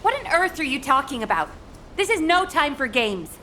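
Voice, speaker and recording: A woman's voice: high-pitched, sing-song, moderate pace, bright, forceful tone, a little hiss or hum, exaggerated voice. A woman playing a blunt, impatient military interrogator in a video game, stern and direct. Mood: exasperated.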